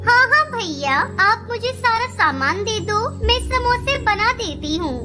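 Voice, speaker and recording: A woman voicing a gentle, earnest female cartoon bird, a young mother who speaks politely and expressively. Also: extremely high pitch, animated, measured pace, music in the background. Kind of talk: Hindi cartoon moral-story dialogue.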